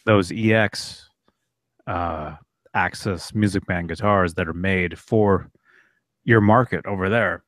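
A man in his thirties, a guest talking in relaxed, halting bursts on a studio mic with headphones over a video call. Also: deep voice, dry recording. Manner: relaxed, halting, repeats words. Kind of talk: casual chat.

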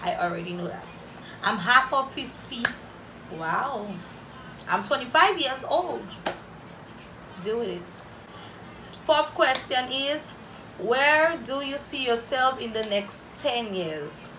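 Slow-talking Saint Lucian woman vlogger. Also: on the high side, lively intonation, background noise. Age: 20s. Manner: chatty, playful, reading questions from paper. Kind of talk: casual Q&A to camera. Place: small room indoors, plain wall. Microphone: camera in front, head-and-shoulders framing.

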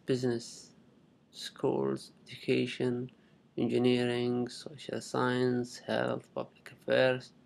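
A young man from Saudi Arabia with a Saudi Arabic accent, a native Arabic speaker, giving a halting, unscripted step-by-step screen tutorial in simple phrases. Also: mid-range voice, gravelly, a little mellow. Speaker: international student giving a tutorial. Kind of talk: unscripted screen-recording walkthrough.

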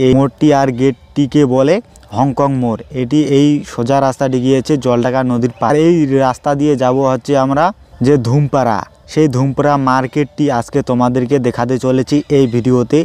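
A man's voice: medium pitch, warm tone, clear, resonant tone. A man narrating a travel vlog in loose, repetitive, improvised phrasing. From West Bengal, India. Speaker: travel vlogger. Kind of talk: travel vlog narration pointing out roads and places.

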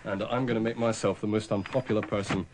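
A man's voice: fairly low pitch, steady tone, fast talker, darkish tone, a little hiss or hum. A man talking casually about sport as a presenter on live radio.

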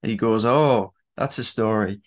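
A man's voice: fairly low pitch, moderate pace. A British man in his twenties, chatting casually as an interviewer. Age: 20s. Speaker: interviewer, host. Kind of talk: casual chat. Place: bedroom, indoors on a video call.

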